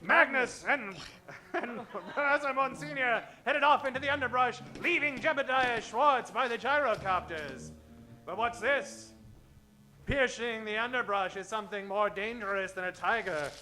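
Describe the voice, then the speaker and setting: A man narrates a comic old-time radio serial with grandiose emphasis. His voice is extremely high and sharply bright, with faint music behind it. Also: normal speed.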